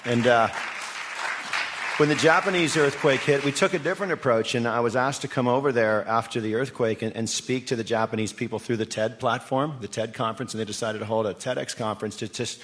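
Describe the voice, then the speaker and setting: A man's voice: medium pitch, brisk, fairly bright tone, some chatter behind, slight background noise. A man speaking as an executive guest in a live radio interview, telling stories in a conversational, enthusiastic and persuasive way. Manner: enthusiastic, persuasive, poses rhetorical questions to listeners.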